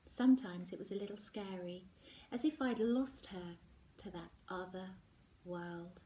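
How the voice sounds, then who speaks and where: A woman teacher reading a novel aloud, expressive and slipping into whispers at tense moments. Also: mid-range voice, a little slow, recorded from a distance, slight background noise. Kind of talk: storybook reading.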